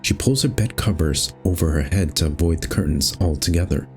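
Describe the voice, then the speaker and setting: A man narrating a visual novel aloud as host, in an expressive, measured storytelling style. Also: sits low, faint music behind.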